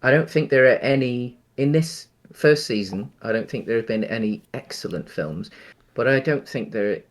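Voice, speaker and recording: A man co-hosting a casual film discussion, talking in a hesitant, rambling way with halting phrasing. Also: mid-range voice, expressive. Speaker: podcast co-host.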